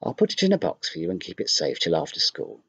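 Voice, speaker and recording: A man voicing a strict but kindly woman schoolteacher in a storybook, firm and brisk. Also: deep voice, wildly swooping pitch, fairly bright tone, husky, dry recording, heavily acted.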